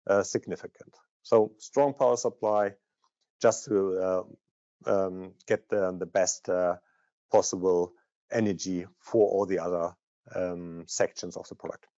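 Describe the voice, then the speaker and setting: Non guy doing product training, dark relaxed voice, bit dull audio, stop and start. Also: deep voice, a little slow, no echo. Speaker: product manager presenting. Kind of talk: technical product training presentation.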